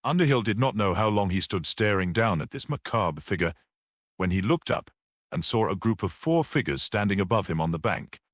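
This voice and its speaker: Low-voiced man narrating, widely varied intonation. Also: animated, a little fast, dry recording, clean studio sound. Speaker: audiobook narrator. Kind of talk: scripted narration of a gothic mystery story.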